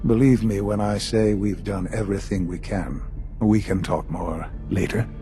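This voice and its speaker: Game role: grave older male leader, played by an actor, low mellow voice, formal and measured. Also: steady tone, soft background music, lightly acted. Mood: grave, solemn. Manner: measured, formal, authoritative, with deliberate pauses. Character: grave older male leader of a secretive science institute, dying. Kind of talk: dramatic video game acting, measured formal speeches.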